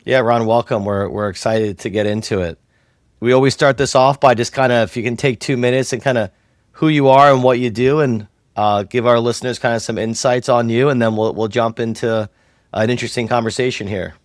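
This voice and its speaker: A man co-hosts a podcast in a relaxed, casual manner. His voice sits at a middle pitch and sounds dry and close to the mic. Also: a little fast, dry recording. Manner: casual, relaxed, uses "kinda". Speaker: podcast co-host. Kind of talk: podcast interview.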